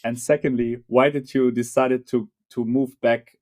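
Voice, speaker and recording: Halting podcast host, many fillers. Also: male voice, medium pitch, little pitch movement, darkish tone. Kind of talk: casual interview chat.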